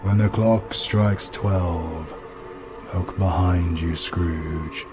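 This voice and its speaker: A British man playing an ancient fatherly spirit of light in a deep, husky voice, grave and portentous. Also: steady tone, extremely slow, music in the background. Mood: solemn, resigned.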